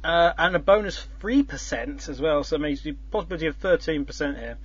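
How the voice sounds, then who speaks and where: A man's voice: on the high side, fairly quick, husky. A man hosting a casual chat, explaining a contest's scoring in a rambling way with many fillers and restarts. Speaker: host. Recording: dull lo-fi sound.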